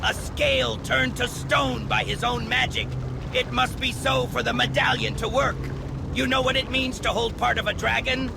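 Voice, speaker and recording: A man's voice: level tone, normal speed, background noise, full character voice. A man voicing a gleeful, treacherous little goblin who taunts in sing-song repetitions in a fantasy game cutscene. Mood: gloating. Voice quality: shrill, creaky. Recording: loud music behind. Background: music.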